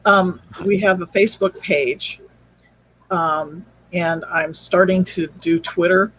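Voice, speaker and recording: A man speaking as a podcast guest and producer in casual, halting chat, thinking aloud. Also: high-pitched, steady tone, fairly bright tone, husky, poor audio.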